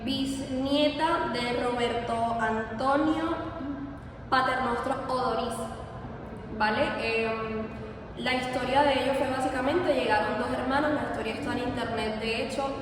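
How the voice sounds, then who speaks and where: A woman in her late twenties from Venezuela, giving a hesitant, self-correcting personal account as an interviewee. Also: a little high. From Venezuela, Margarita Island. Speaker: interviewee, university student. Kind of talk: informal personal recollection in an interview. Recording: very echoey hall, distant mic, noisy.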